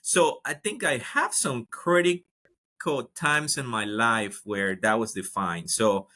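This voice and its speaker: A man's voice: medium pitch, lively intonation, slow, sharp tone. A man from Ecuador, a guest telling his career story in a casual interview, with lots of fillers and restarts. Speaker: guest, HR executive.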